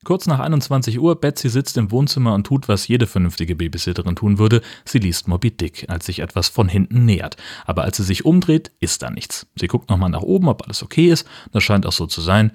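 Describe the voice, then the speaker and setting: A man with a fairly low voice hosts a podcast. He chats casually and narrates scripted comedy. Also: expressive, fairly quick, few pauses, a little mellow, breathy edge. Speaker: podcast host.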